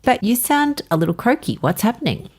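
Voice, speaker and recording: A woman's voice: fairly high pitch, very animated, a little fast, breathy edge. A woman doctor with an Australian accent co-hosting a health podcast, upbeat and bantering with her partner. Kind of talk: casual podcast chat.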